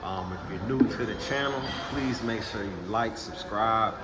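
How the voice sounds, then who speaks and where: Chill texas dude hosting, lowish voice, noisy background. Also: male voice, moderate pace. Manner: laid-back slangy talk. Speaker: host. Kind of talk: casual interview. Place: indoors in Puerto Rico.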